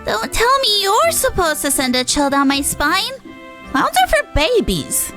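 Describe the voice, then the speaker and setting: A woman voicing a bold, excitable little girl from a video game, in an exclamatory, childlike manner. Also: very high voice, unhurried, soft background music, voice pushed up, heavily acted. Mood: defiant.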